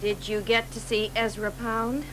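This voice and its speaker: Brief: actress as an impatient mom, fairly high voice, noisy radio play. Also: female voice, measured pace, lightly acted. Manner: impatient, pressing. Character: impatient mother on holiday. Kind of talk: radio drama acting. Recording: radio broadcast with steady background noise.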